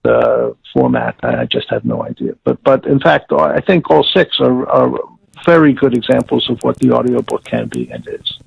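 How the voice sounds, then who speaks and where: A man answering interview questions as a producer, measured and wry, searching for his words. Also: mid-range voice, huge pitch swings, creaky, husky, dry recording. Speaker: audiobook producer being interviewed. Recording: tinny, dry phone line.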